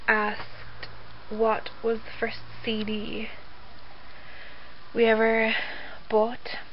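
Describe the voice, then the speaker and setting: Slow-talking, slightly croaky young woman. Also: a little high, monotone. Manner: rambling, hesitant delivery with trailing thoughts. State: sore throat. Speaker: vlogger. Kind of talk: casual chat to camera. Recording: dull lo-fi audio with faint noise.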